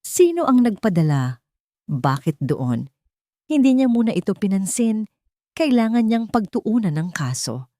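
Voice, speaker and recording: Woman narrating a dramatic story, lowish voice. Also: dark tone, no room sound, clean studio sound.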